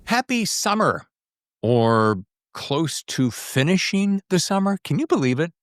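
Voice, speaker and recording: A man's voice: on the high side, sing-song, measured pace, no room sound, clean studio sound. A middle-aged or older man hosting a podcast in a casual, playful and rambling way, with self-teasing asides. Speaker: podcast host.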